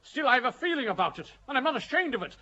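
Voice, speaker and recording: An actor playing an indignant, hot-tempered English chaplain in a radio drama, speaking fervently. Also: male voice, very high-pitched, sing-song, racing, bright, forceful tone, highs cut off, heavily acted. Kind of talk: classic radio drama acting.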